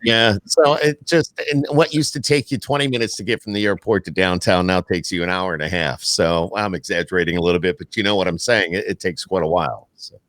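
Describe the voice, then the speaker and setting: A man's voice: deep voice, fairly quick, hardly any pauses, fairly bright tone, no echo. An American man hosting a podcast, chatting casually with a jokey manner and repeated phrases. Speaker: podcast host. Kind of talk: casual chat.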